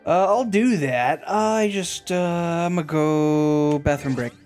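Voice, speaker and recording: Man, game streamer, slightly high pitch, casual commentary, soft background music. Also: slow pace, smooth. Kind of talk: casual reactions over gameplay.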